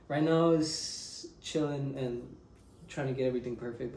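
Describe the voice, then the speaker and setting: A man's voice: mid-range voice, darkish tone, echoey room, a little hiss or hum, poor audio. A young man from Mexico answering interview questions in a laid-back, rambling way with many fillers. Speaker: interviewed musician.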